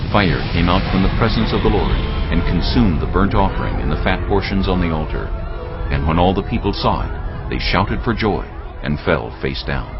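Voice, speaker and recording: A man narrating scripture dramatically, with solemn, deliberate and emphatic delivery. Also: low-pitched, muffled recording. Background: prominent background music.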